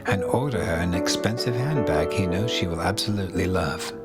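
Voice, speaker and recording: A man's voice: low voice, a little fast. A man narrating an audio drama in scripted, third-person storytelling. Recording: loud music behind the voice.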